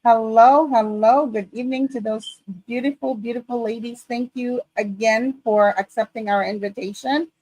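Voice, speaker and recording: A woman co-hosts a live online show in a fairly high voice, greeting everyone brightly and more than once. The sound is dry and close, with no room echo. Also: steady tone, normal speed, few pauses. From Philippines. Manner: upbeat, repeated greetings. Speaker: co-host.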